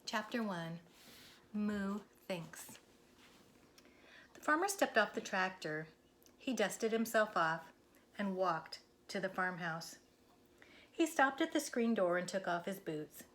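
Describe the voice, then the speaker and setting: A woman narrating a children's storybook aloud in a deliberate, expressive school read-aloud style. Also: mid-range voice. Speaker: elementary school teacher reading aloud.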